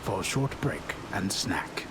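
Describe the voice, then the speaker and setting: An actor playing a grand, solemn storyteller in a video game, deadpan and portentous. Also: male voice, sits low, slow pace, background noise. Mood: mock-solemn.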